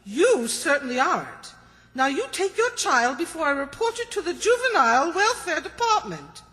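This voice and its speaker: A woman's voice: high-pitched, very animated, normal speed, a bit bright. A woman playing an indignant, imperious big lady, scolding haughtily in old-time radio comedy style. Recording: reverberant hall stage recording.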